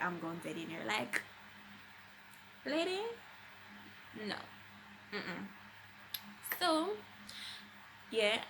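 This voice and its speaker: A woman's voice: medium pitch, noisy background. A young adult woman with a Jamaican accent, chatting casually and expressively as a vlogger telling a personal story, with emphatic conversational delivery.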